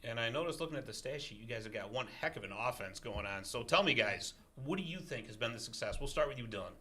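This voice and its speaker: A man hosting a college podcast in a studio, energetic and jokey with emphatic delivery. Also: medium pitch, fast. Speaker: podcast host. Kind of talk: upbeat interview hosting.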